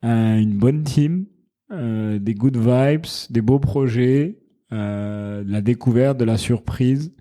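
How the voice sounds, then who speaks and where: A man with a mellow, relaxed tone chats as a podcast guest. He explains his business earnestly and works his thoughts out as he speaks, in an easygoing conversational way. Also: mid-range voice, slow. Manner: earnest, explanatory, thinks aloud mid-sentence. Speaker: founder of a wine company, podcast guest. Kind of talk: casual interview chat.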